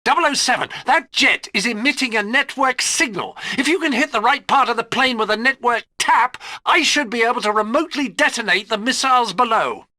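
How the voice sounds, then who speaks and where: An actor playing a fussy, proud gadget inventor who briefs a spy precisely in a video game. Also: male voice, very high-pitched, fairly quick, hardly any pauses, bright, forceful tone, dry recording, studio quality, exaggerated voice. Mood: instructive. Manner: precise, instructive, slightly fussy.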